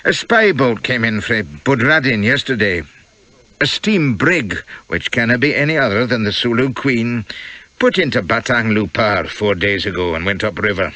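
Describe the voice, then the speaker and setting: A burly Scottish sea captain growls with dour, sardonic piety in a storybook reading. The pitch swings widely and the sound is forceful, close and dry. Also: male voice, expressive, normal speed, dry recording. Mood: grimly matter-of-fact.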